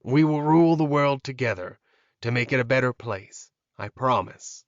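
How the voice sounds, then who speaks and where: A man's voice: mid-range voice, animated, normal speed, no echo, lightly acted. Man playing a boastful young prince, dull recording. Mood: earnest. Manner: haughty, scoffing, boastful. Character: boastful young royal boy with magic. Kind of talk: audiobook character dialogue.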